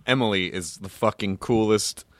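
A man hosting a podcast, asking questions in an animated, casual way and swearing now and then. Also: lowish voice, moderate pace, dry recording. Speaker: podcast host. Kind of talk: casual interview chat.

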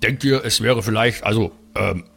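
A man playing an earnest, worried elder-brother cleric in an improvised tabletop audio play, speaking politely and haltingly as he pleads. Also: on the low side, creaky, pushed and bright, soft background music. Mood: hesitant, pleading.

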